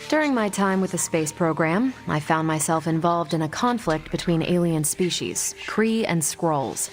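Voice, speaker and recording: An actress playing a confident, easygoing American superhero in a video game, with a wry, casual manner. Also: female voice, fairly low pitch, animated, moderate pace, soft background music, natural voice. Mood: frank, reflective. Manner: wry, casual, self-assured. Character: confident, easygoing superhero woman, ex-Air Force pilot. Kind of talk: video game dialogue acting.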